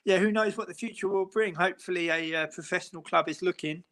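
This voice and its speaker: A man's voice: high-pitched, fast, a bit bright. A man, a football interviewer, talking at length in a casual chat, full of fillers and restarts. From England. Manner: long rambling answers with many fillers and restarts. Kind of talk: casual chat on a live stream.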